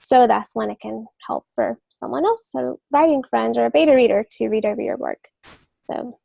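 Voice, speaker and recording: A woman's voice: on the high side, normal speed, vocal fry, warm tone, no echo. A woman co-hosting a casual writing podcast, giving advice in a hesitant, explanatory way. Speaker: podcast co-host and writer. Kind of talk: casual advisory discussion.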